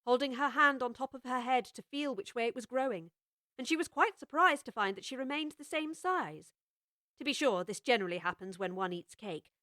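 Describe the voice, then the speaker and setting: A woman reading scripted storybook narration in a measured, expressive way. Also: on the high side, dry recording, clean studio sound. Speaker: audiobook narrator.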